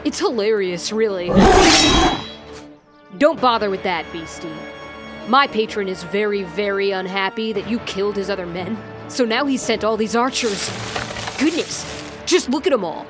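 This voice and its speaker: A woman's voice: medium pitch, music in the background, natural voice. A woman acting a game master who narrates theatrically and voices a smug, menacing villain in an audio drama. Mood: smug menace. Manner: theatrical, measured storytelling.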